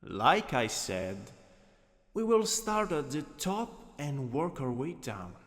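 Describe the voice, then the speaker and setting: A man with an Italian accent playing a gently dominant, doting anatomy teacher in a scripted ASMR roleplay, coaxing and instructing. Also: on the high side, very animated, slow pace, exaggerated voice. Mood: lecturing, composed. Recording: long reverberant hall echo.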